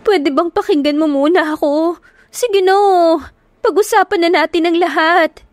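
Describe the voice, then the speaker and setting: A woman's voice: very high voice, unhurried, darkish tone, no echo, studio quality, voice pushed up. A woman narrator voicing a young woman who desperately begs her partner not to leave. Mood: pleading. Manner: desperate, pleading. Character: young woman pleading tearfully with her lover.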